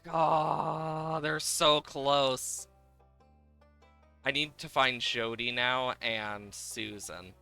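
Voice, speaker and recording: A man's voice: mid-range voice, fairly even intonation, extremely slow, gravelly, bright, forceful tone, clean tone. A man chatting casually and enthusiastically as he streams a game, rambling from thought to thought. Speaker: game livestream host. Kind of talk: casual chat over gameplay, reading game dialogue aloud. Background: video game music.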